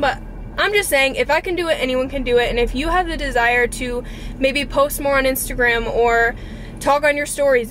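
A young woman with a high voice talks casually to the camera like a confessional vlogger. She is earnest and informal, with the odd mild swear. The recording is made in a car, with clear background noise around her voice. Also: a bit bright. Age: 20s. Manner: earnest, informal, mildly profane. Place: inside a parked car.

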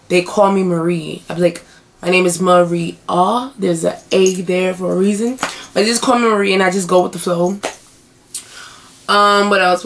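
Young woman on her webcam, hoarse and gravelly, chatty, small bedroom. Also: mid-range voice. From United States. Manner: chatty, animated hand gestures. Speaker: vlogger. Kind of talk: casual chat.

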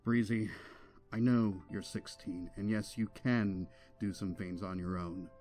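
A man plays a gentle cartoon pony king, a protective father with a fairly low voice. He speaks patiently and reassuringly over music. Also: steady tone, measured pace.

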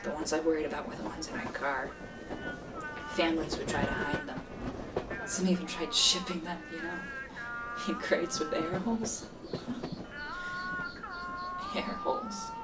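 A jaded woman smuggler acted by a woman tells her story in an audio drama interview. Her voice is low and worldly, matter-of-fact and cynical. She sounds a little far from the mic, with music clearly behind her. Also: huge pitch swings, unhurried, pauses often, exaggerated voice. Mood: grim, reflective. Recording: slightly distant, music behind.

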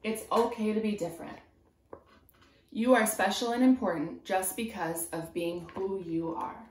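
A woman in her twenties reads a picture book aloud as a preschool teacher, pausing to put simple, encouraging questions to young children. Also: mid-range voice, a little mellow, a little hiss or hum. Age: 20s. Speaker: preschool teacher reading a storybook. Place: small room at home, indoors. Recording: roomy indoor echo.

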